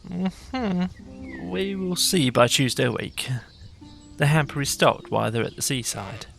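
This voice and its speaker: A man playing a refined town mouse, haughty, formal, huffy, pitch often leaping up, light music behind. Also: lively intonation, takes it slowly, creaky, fairly bright tone, heavily acted. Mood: noncommittal. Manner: haughty, formal, easily put out.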